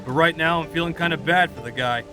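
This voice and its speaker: A man's voice: on the high side, fast talker, music in the background, close to an everyday voice. A man playing a blunt, easygoing male demigod brawler. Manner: laid-back, blunt.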